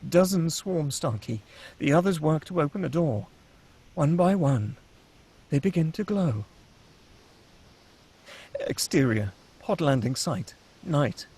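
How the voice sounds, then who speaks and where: A man's voice: on the high side, expressive, slow, long pauses, dark tone, breathy edge. A man reading stage directions as the narrator of a screenplay, in a dramatic scripted style.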